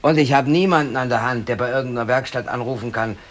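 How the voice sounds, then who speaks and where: A man's voice: mid-range voice, quick pace, natural voice. An actor playing an aggrieved, defiant working man in his thirties, brooding aloud in colloquial speech in a radio drama. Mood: bitter, rueful. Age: 30s. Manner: colloquial, brooding, talking to himself. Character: aggrieved, defiant working man, hobby photographer, in police custody.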